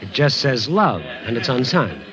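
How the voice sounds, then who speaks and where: Brief: wry American buddy and storyteller, medium animated voice, vintage radio play with loud music. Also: male voice, mid-range voice, a little slow, low-quality recording. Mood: excited. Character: wry, friendly male sidekick and storyteller. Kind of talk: 1940s radio drama acting.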